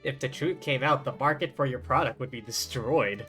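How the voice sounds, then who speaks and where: A man's voice: medium pitch, normal speed, pushed and bright. A man streaming a game live, giving casual reactions and commentary on the story. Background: faint game music.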